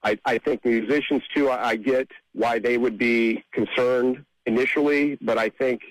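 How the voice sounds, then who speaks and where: Male interview guest, songwriter, casual, halting with repeated phrases, dry sound. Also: medium pitch, fairly even intonation, no room sound, low-quality recording. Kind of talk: casual radio interview answers.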